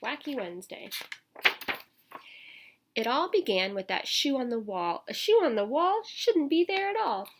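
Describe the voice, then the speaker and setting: A woman teacher reading a picture book aloud to children, expressive and emphatic, with casual asides to her listeners. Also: high voice, measured pace, pauses often, noisy background.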